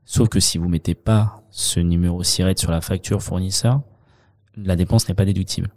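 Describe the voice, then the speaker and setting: A French accountant talking through his work in a relaxed interview, with many fillers and restarts. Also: low voice, moderate pace. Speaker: accountant, guest. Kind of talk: conversational interview.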